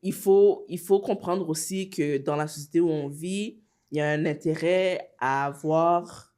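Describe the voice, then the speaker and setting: A woman's voice: low voice, fairly even intonation, measured pace, mellow. A woman with a Quebec French accent talking reflectively and earnestly in a casual podcast discussion, sometimes slipping into English. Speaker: podcast panelist.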